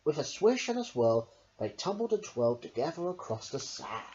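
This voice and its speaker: A woman reading a children's picture book aloud in a theatrical way, with deliberate emphasis. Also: deep voice, sing-song, warm tone, muffled recording, a little hiss or hum. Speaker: storyteller.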